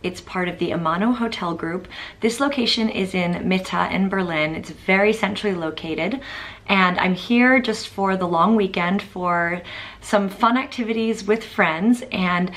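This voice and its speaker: A woman's voice: medium pitch, a little slow, fairly bright tone. A woman in her thirties, a travel vlogger giving a chatty, upbeat tour of a small hotel room, filming with a handheld camera. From Boston, USA. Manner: chatty, upbeat, thinking aloud with fillers. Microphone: handheld camera at arm's length.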